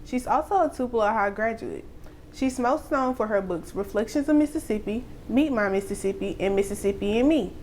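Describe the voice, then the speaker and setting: Female program presenter, slightly high voice, scripted delivery, light noise in the background. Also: expressive, brisk, a little mellow. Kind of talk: scripted introduction. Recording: faint background noise and ambience.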